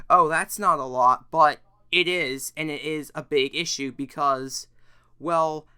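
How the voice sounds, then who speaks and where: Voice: mid-range voice, sharp tone, smooth. An earnest, emphatic YouTuber giving semi-scripted commentary on an issue. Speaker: YouTuber presenting an issue video.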